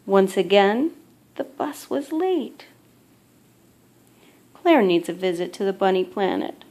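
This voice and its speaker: A woman reading a children's picture book aloud as a mother would, in measured, expressive storytelling. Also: mid-range voice.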